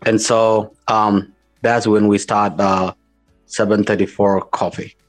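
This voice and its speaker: A man with a South Sudanese accent answering interview questions as a company founder, in informal, halting, repetitive phrasing. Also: on the low side, level tone, faint music behind. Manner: informal, repetitive, halting phrasing with non-standard English grammar.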